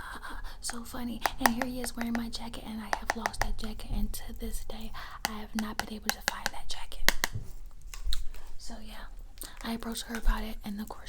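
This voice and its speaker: A woman telling a casual story in a breathy ASMR whisper, repeating phrases for effect. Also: medium pitch. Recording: lo-fi, loud noise, clicks.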